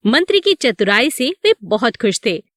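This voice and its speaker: A woman's voice: a little high, sing-song, moderate pace, dry recording, clean studio sound. A woman narrating a scripted moral story for children.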